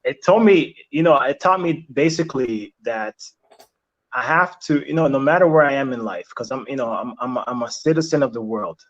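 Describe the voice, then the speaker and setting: A young man talks through his answers in a relaxed interview, pausing and restarting as he gathers his thoughts. Italian is his first language. The audio is a little muffled and lo-fi. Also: medium pitch. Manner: reflective, halting, many restarts and fillers. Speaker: interview guest. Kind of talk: casual interview chat. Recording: slightly dull lo-fi sound.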